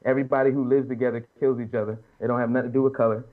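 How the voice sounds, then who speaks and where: Fast-talking man in his late thirties, muffled audio. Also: mid-range voice, flat delivery. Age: late 30s. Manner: earnest, emphatic, colloquial, repeats and rephrases as he argues. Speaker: podcast panelist. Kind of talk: casual opinionated panel talk.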